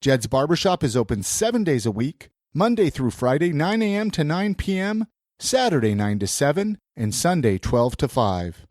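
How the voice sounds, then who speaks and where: A man's voice: a little high, very animated, normal speed, a little mellow, no echo, studio quality. An American man hosting a podcast in a casual, chatty style, slightly congested from a head cold. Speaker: podcast host. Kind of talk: casual podcast chat and sponsor reads.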